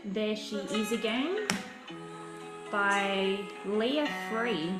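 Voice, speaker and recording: A woman leading a guided relaxation session as a wellness coach, speaking in deliberate, instructive phrases with frequent pauses. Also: medium pitch. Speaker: meditation and wellness session leader. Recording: roomy echo with music behind.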